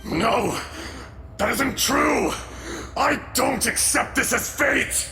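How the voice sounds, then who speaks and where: A man voicing a furious, proud and bitter ancient elemental dragon in a video game, gravelly and grandiose, declaiming with contempt. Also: extremely high pitch, slow, exaggerated voice. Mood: furious, defiant. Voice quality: heavily gravelly. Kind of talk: dramatic video game voice acting. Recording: loud dramatic game music underneath.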